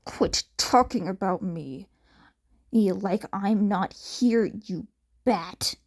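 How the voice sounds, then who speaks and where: Woman doing a gruff, angry teenage boy. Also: drawn-out words, darkish tone. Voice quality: deeper, gruff. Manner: growling, abrasive. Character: angry, loud, violent teenage boy hero intern.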